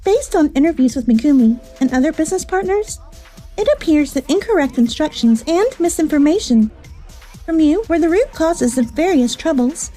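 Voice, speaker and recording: An actress playing a stern, exacting company official in a manga dub, formal and accusatory. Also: female voice, high voice, animated, normal speed, warm tone, soft background music. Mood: stern, critical.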